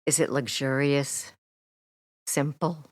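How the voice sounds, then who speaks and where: A woman with a very deep voice guides a relaxation meditation. Her delivery is slow, gentle and soothing, with deliberate pauses and soft, near-whispered phrase endings. The sound is close and dry. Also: fairly even intonation, no room sound. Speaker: hypnotherapist guiding a meditation.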